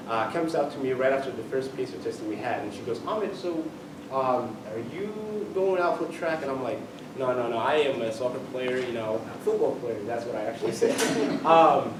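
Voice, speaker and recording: A young man from Ethiopia, telling personal stories to a live audience in a high school auditorium and teasing them with joking claims. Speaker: student storyteller. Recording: echoey hall from far off, noisy.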